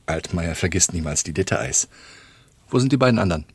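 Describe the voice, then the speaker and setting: An actor playing a shrewd, courteous underworld fixer in a radio drama, with a businesslike manner. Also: male voice, sits low, animated, fairly quick, husky, dry recording, heavily acted. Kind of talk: German radio drama dialogue.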